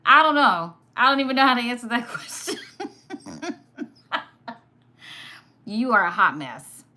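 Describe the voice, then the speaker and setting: A woman from Sudan whose first language was Arabic, hosting a casual live stream, chatty and quick to laugh while reading comments. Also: on the high side, sing-song, pushed and bright. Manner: chatty, laughs often, teasing. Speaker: livestream host.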